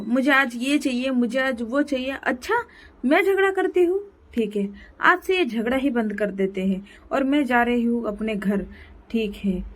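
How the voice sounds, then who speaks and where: A woman voicing a quarrelsome female crow wife who scolds her husband and complains loudly. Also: a little high, natural voice.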